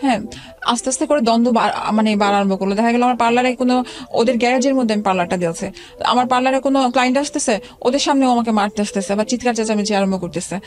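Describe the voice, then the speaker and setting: A woman's voice: a little high, very fast. A middle-aged woman with a Bangladeshi accent tells her own life story as an interview guest, often breaking off and restarting her words. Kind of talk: personal storytelling in a radio interview.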